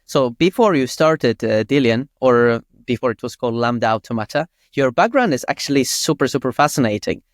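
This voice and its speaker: A man's voice: medium pitch, expressive, fairly quick, hardly any pauses, no room sound, studio quality. A man hosting a podcast, putting casual, chatty questions to his guest. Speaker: podcast host, interviewer. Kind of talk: casual chat, interviewing.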